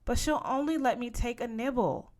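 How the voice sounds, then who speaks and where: A woman's voice: on the high side, moderate pace, voice pushed up, natural voice. A woman voicing a stubborn, sulky young girl, whiny and defiant, in a storybook reading.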